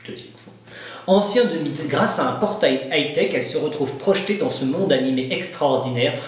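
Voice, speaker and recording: A man's voice: fairly high pitch, animated, moderate pace. A man talking casually and exclamatorily in a conversational comedy sketch. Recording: very echoey, distant mic, faint noise.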